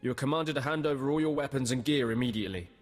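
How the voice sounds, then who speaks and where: An actor playing a bullying, haughty military sergeant in a video game, barking with contempt. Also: male voice, medium pitch, rapid-fire, in a large hall, soft background music, natural voice. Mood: contemptuous.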